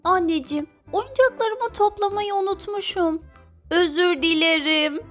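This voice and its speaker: A woman's voice: extremely high pitch, a little slow, a bit bright, soft background music. A woman voicing a polite, eager little animal boy in a children's cartoon. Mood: apologetic. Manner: polite, simple childlike phrasing.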